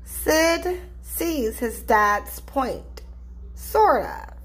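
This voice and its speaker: Slow, high-voiced woman reading a storybook. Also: expressive, husky, rough recording. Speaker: children's storybook reader. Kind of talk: animated read-aloud narration for children.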